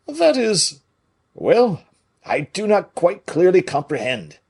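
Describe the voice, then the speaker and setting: A man reading an audiobook, voicing a big, blustering, naive but good-hearted nobleman with loud, emphatic exclamations. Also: high-pitched, huge pitch swings, slow, vocal fry, breathy edge, voice pushed up, full character voice. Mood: puzzled.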